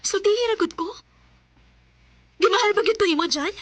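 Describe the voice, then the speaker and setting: A woman's voice: extremely high pitch, moderate pace, a bit bright, no echo. A woman with a Cebuano Filipino accent playing an emotional, loving young daughter and sweetheart in a Bisaya radio drama, earnest and melodramatic. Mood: hurt, indignant. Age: young adult. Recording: music behind radio drama dialogue.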